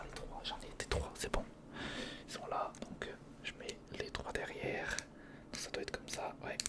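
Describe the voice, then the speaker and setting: A man whispering a casual, improvised ASMR build-along, muttering hesitantly to himself and chatting to the viewer in a breathy, mostly voiceless voice. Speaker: ASMR video host.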